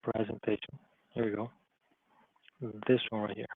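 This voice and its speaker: A man's voice: fairly low pitch, little pitch movement, moderate pace, gravelly, dry recording. A male college advisor giving an informal screen-share tutorial, talking loosely with restarts and frequent "okay?" check-ins. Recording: tinny phone-like narrow sound, dry.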